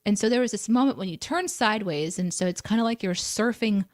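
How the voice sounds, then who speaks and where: Woman co-host, casual storyteller, animated, dry close mic. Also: mid-range voice, fairly quick, no echo. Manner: animated, laughs easily. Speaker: co-host of a live morning stream.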